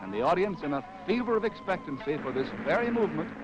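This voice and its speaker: Animated American man, vintage newsreel-style narrator. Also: fairly high pitch, fast, over music, poor audio. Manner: wry, arch, theatrical phrasing. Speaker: narrator of a military training film. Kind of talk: scripted 1940s documentary narration. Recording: vintage 1940s film soundtrack.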